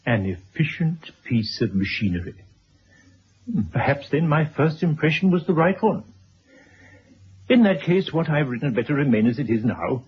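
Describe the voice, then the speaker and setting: An actor playing a polite, formal biographer in a radio drama, speaking precisely in a husky voice. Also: male voice, on the high side, expressive, moderate pace, slight background noise, lightly acted. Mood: measured, probing. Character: courteous, formal male biographer interviewing his subject. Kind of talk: 1970s radio drama acting. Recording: dull old radio broadcast recording.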